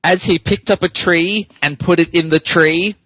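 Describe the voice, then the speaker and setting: A man hosting a podcast, switching between casual banter and comic dramatic reading in an amused, animated manner. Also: sits high, moderate pace, no echo, low-quality recording. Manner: animated, amused, breaks into laughter while reading. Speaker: podcast host and narrator.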